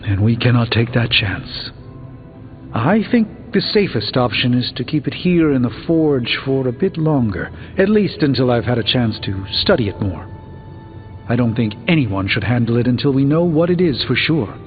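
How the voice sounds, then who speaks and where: A man's voice: medium pitch, very animated, natural voice. Man acting as cautious scholarly sorcerer, echoing hall. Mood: grave, cautious. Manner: measured, articulate, formal. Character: cautious, scholarly adult male sorcerer, uneasy yet dry-witted. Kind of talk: video game voice acting. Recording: muffled narrow-band sound with long reverb. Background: music.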